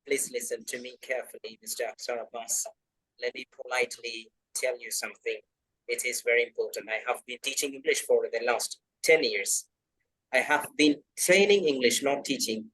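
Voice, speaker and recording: A man's voice: medium pitch, normal speed, fairly bright tone, slightly rough, rough recording. A man from Kerala with a Malayali accent, whose first language is Malayalam, talking as an English trainer in an online video class, earnest and insistent, repeating his points. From Kerala, South India. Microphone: video call.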